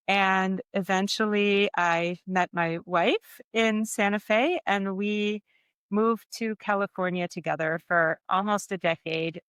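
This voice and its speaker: A middle-aged woman from Germany, a guest artist talking about her life in a relaxed, reflective way and laughing often. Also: fairly low pitch, clear, resonant tone, dry recording. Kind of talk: conversational interview.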